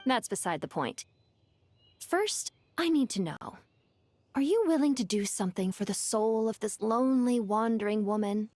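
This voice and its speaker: A woman's voice: on the high side, expressive, darkish tone, no room sound. Theatrical, world-weary ancient djinn woman. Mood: wistful, persuasive. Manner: theatrical, eloquent, measured. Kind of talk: dramatic video game voice acting.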